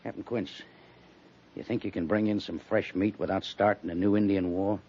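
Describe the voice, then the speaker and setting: An American man playing a brisk, stern but understanding army major in a 1950s radio drama. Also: sits low, fairly even intonation, warm tone, slight background noise. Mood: firm, official. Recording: muffled 1950s radio recording.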